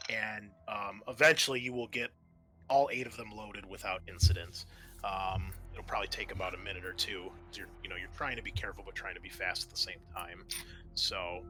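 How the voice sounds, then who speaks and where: Bright-voiced man running a tabletop game. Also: lowish voice. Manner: halting, conversational. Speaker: game master. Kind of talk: improvised tabletop game narration. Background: background music.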